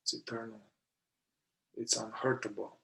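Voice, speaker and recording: A man leading a guided meditation in short, deliberate phrases with long pauses between them. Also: medium pitch, level tone, gravelly, pushed and bright. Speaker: meditation guide, somatic therapist.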